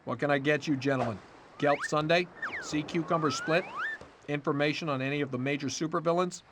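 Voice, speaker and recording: A man's voice: on the high side, fairly quick, natural voice. A man voicing an obliging but sly ice-cream vendor in a cartoon game, with a clipped, knowing delivery. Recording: clearly noisy background.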